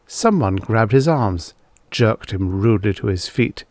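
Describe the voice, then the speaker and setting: A man reading a story aloud as an audiobook narrator, in a measured, deliberate manner. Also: lowish voice, lively intonation, a little mellow, no echo.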